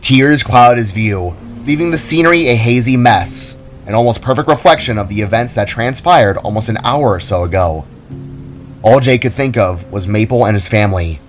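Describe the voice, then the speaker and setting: A man's voice: lowish voice, lively intonation, moderate pace. A man giving a dramatic scripted narration of a fantasy story, as its narrator. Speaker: author and narrator. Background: soft music.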